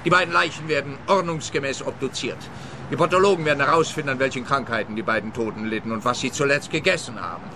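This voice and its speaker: Man acting a complacent police official, pompous, officious, medium pitch, radio drama, noisy street ambience. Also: fast talker, natural voice. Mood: officious, smug.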